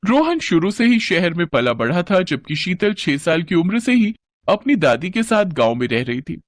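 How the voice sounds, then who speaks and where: A man's voice: sits high, very animated, moderate pace, no echo, clean studio sound. A man narrating a scripted children's moral story.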